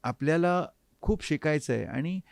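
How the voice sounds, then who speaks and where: A man, reminiscing reflectively as an interview guest and often pausing mid-sentence to find his words. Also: a little high, animated, vocal fry, no room sound. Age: 50s. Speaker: interview guest, harmonium player. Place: recording studio.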